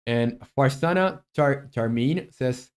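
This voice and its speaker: Man, mid pitch, live coding, musing aloud, greeting viewers. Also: slow, clean tone. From Chile. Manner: muses aloud while coding, chats with viewers. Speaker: game developer streamer.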